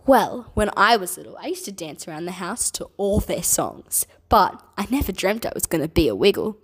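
A woman's voice: on the low side, very animated, moderate pace, slightly rough. A woman in her twenties with an Australian accent, a children's entertainer answering kids' questions from home, bubbly and enthusiastic. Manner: bubbly, enthusiastic, speaks gently to kids.

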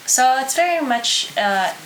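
A woman's voice: high voice, animated, a little slow, fairly bright tone, slight background noise. An American woman co-hosting a podcast, telling a story in casual chat, hesitant with frequent ums and restarts. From United States. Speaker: podcast co-host.

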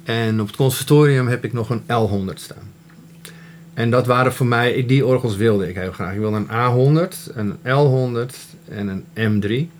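A man's voice: mid-range voice, normal speed. A man, a working musician, talking informally in an interview. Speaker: musician, organist. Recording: clear background noise.

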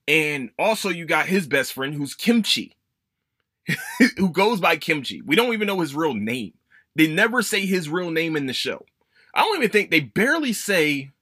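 A man's voice: on the high side, sharp tone, no echo, clean studio sound. A young adult man hosting a casual solo podcast, rambling, swearing and correcting himself as he goes. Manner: rambling, profane, self-correcting. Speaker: podcast host.

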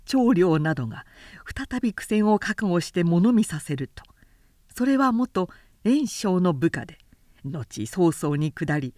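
A woman who works as a narrator reads a literary tale dramatically, in a measured, carefully articulated way. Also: on the low side, a little mellow, no room sound, studio quality. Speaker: professional narrator.

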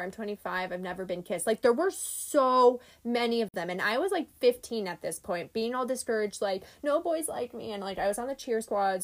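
A woman in her twenties talking casually on a podcast, chatty and animated, with lots of fillers and laughter. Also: mid-range voice. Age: 20s. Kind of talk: casual chat.

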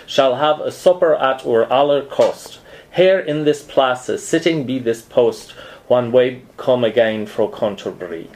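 A man reading old verse aloud in reconstructed Middle English pronunciation, as a measured storytelling recitation. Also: mid-range voice, darkish tone.

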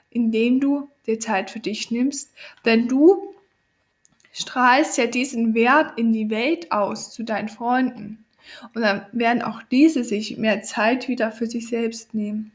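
A woman with a cold hosting a podcast, giving an earnest motivational monologue straight to the listener. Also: a little high. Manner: earnest, coaching, addresses listener directly. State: has a cold. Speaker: podcast host.

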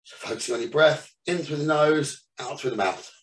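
A man leading a guided meditation as the teacher, giving soothing instructions in short phrases with pauses between them. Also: medium pitch, a bit bright. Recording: roomy echo, distant from the mic.

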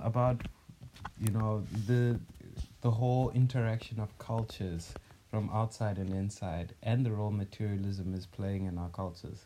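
A man's voice: on the low side, dark tone, a little hiss or hum. A man from Zimbabwe co-hosting a casual podcast chat, speaking earnestly and thinking aloud with fillers. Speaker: podcast co-host.

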